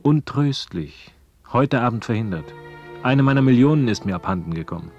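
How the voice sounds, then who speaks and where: An actor playing a curt, suave, calculating business magnate in a radio drama, with a clipped, dry delivery and gravelly voice. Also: male voice, medium pitch, animated, moderate pace, over music, close to an everyday voice. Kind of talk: 1970s German radio drama acting. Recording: dull, band-limited 1970s radio recording.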